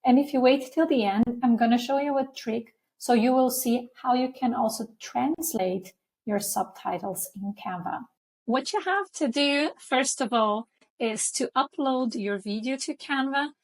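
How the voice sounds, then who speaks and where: A woman with a fairly high voice, a Portuguese native speaker, walks through a design tutorial step by step. She keeps it chatty and informal and keeps checking in with "okay?". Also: measured pace, a little mellow, rough recording. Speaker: tutorial host. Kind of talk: screen-recording software tutorial.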